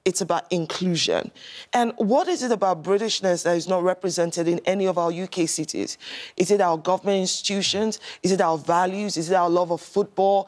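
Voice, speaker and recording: A woman's voice: on the low side, brisk, darkish tone. Emphatic woman making a rhetorical case. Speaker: studio guest. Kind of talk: live TV debate. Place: TV studio. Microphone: lapel mic.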